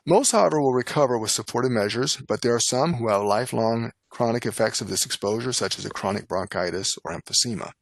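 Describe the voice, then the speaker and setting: A man narrating a scripted medical lecture as an instructor, in a formal, measured, instructional manner. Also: medium pitch, creaky, no room sound. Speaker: lecturer, nurse and poison specialist.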